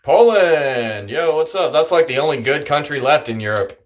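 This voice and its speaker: A man's voice: a little high, lively intonation, normal speed. A man livestreaming and answering chat in a loose, rambling way, full of fillers. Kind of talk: casual chat.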